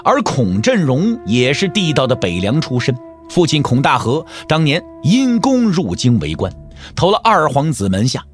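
A man's voice: mid-range voice, wildly swooping pitch, moderate pace, soft background music. A man narrating a martial-arts audio drama in a theatrical, wry storytelling style. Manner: theatrical, wry, with rhetorical questions.

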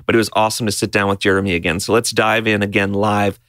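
A man's voice: lowish voice, level tone, fast, a bit bright, dry recording, studio quality. A man hosting a music podcast backstage, upbeat and chatty, laughing often and asking long rambling questions. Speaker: podcast host. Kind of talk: casual backstage interview and recap. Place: backstage interview booth.

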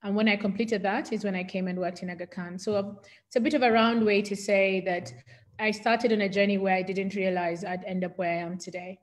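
A woman's voice: medium pitch, level tone, fairly quick. A middle-aged woman with a Kenyan accent, speaking as an interview guest and explaining things in an articulate, conversational way. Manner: articulate, explanatory, defines medical terms.

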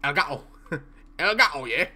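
A man's voice: fairly high pitch, expressive, normal speed, sharp tone. Young Irish man chatting on stream. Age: young adult. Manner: chatty, reads chat aloud, stutters at times. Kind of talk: casual live-stream chat while playing. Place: small room indoors.

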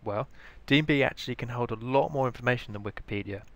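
A man's voice: medium pitch, fast talker. A British man giving scripted instructional narration as the presenter of a training tutorial. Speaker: information librarian, tutorial presenter.

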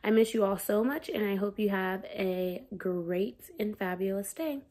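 A woman's voice: medium pitch, a little slow, darkish tone. A young American woman, a schoolteacher, reading aloud and explaining things simply to young children at home. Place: living room at home, indoors.

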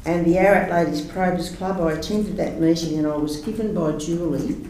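Gravelly councillor in an echoey room. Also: male voice, on the high side, brisk, dark tone, a little hiss or hum. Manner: rambling, earnest, with fillers. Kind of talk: council meeting report. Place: large council meeting room. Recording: echoey meeting room from far off.

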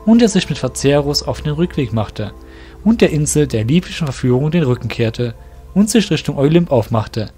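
A man's voice: a little high, sing-song, moderate pace, breathy edge. Man narrating a story over music. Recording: soft background music.

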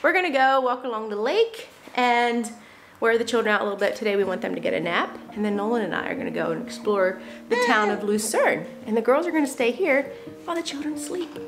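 Woman, thirties, Pennsylvania Dutch accent, chatty, laughing easily, slightly high voice, handheld camera, music underneath. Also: lively intonation, fairly quick. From Pennsylvania, USA. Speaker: vlogger. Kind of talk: casual travel vlog. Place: car and outdoors in a lakeside town. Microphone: handheld camera at arm's length. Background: background music.

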